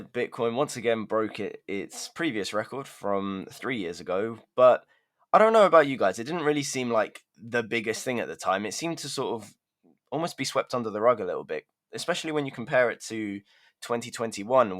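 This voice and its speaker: A man's voice: lowish voice, fairly bright tone. A man co-hosting a fintech news podcast, talking casually and often hedging with "sort of". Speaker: podcast co-host.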